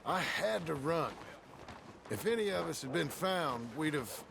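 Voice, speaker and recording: An actor playing a rugged Western outlaw in a game, with a rural American accent and a terse, folksy, guarded way of talking. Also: male voice, fairly high pitch, expressive, measured pace, gravelly, background noise. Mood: guarded, earnest. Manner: folksy, terse, plain-spoken. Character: rugged, plain-spoken male Western outlaw, guarded and gruff. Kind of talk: cinematic game dialogue.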